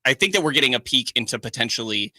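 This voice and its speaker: A man co-hosting sports talk radio, measured and analytical, often saying "like" as he builds his point. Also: mid-range voice, lively intonation, bright, forceful tone, no echo, clean studio sound. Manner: measured, analytical, uses fillers like "like".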